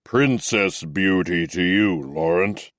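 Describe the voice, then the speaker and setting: A stern, fatherly captain, as a male narrator puts him on, speaking slowly and deliberately in a deep, low voice. He sounds measured and gently reproving. The recording is dry and close, with no room sound. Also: no echo. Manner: slow, measured, gently admonishing. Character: stern but kindly male captain, middle-aged. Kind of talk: audiobook character voice.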